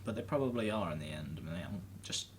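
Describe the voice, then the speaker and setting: Man, fairly low pitch, casual game commentary, tentative, musing, slight background noise. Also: expressive, quick pace. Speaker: gaming video host.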